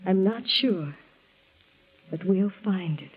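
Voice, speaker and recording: An intense, scheming woman played by an actress in a 1940s radio melodrama. Her voice sits at a middle pitch and comes through thin and narrow, like an old telephone. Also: slow pace, natural voice. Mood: urgent, conspiring. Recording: thin, phone-like vintage radio recording.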